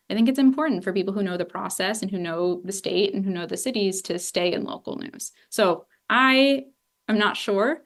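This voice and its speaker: A woman in her twenties from Northeast Ohio, a political journalist speaking candidly and animatedly in a lecture room, with many asides like "you know". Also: mid-range voice. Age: 20s. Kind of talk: public talk answering questions.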